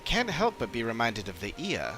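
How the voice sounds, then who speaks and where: A man voicing a thoughtful, concerned adventurer, reading out game dialogue in a measured manner. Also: medium pitch, huge pitch swings, background noise. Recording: game music behind.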